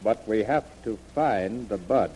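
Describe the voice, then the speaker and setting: An actor playing a confident, measured military general in a 1930s radio drama. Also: male voice, fairly low pitch, dark tone, husky, rough recording. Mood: grave, measured. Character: gruff, confident American army general weighing a mission.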